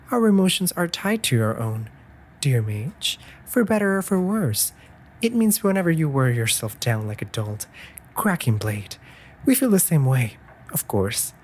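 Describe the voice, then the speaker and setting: A man playing a caring, anxious raven familiar in a comforting audio roleplay, articulate and gently scolding in formal phrases. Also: mid-range voice, a little hiss or hum, natural voice.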